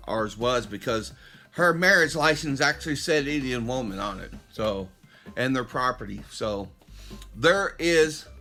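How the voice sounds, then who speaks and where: A fired-up middle-aged man with a drawl, his voice bright and a touch raised. He lectures his listeners directly, stumbling and restarting as he pushes his point. Faint music runs under his voice. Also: a little high. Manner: impassioned, preachy, addresses listeners directly, frequent restarts. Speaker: podcast host. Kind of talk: opinionated monologue.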